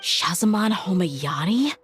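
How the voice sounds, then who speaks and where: Low-voiced, level-headed young mercenary woman. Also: lively intonation. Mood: troubled, reasoning. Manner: frank, measured, thoughtful. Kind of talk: video game voice acting. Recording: music behind.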